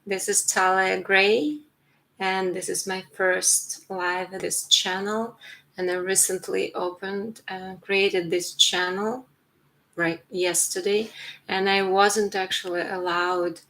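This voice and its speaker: A Ukrainian woman in her forties speaks slowly and sincerely, with many fillers and restarts. Her voice has a gravelly, creaky edge. She pauses often as she searches for her words. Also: medium pitch, level tone, a bit bright, rough recording. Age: 40s. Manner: slow, earnest, halting with many fillers and restarts. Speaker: writer streaming to her channel. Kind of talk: casual live stream monologue.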